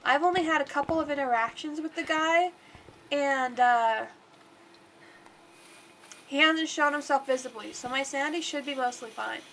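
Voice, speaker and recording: Woman streamer, stuffed-up nose, high voice, chatty rambling play-by-play. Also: moderate pace, long pauses, fairly bright tone, slight background noise, low-quality recording. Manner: chatty and rambling, talks to the game aloud. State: congested. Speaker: livestreamer. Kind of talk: casual gameplay commentary.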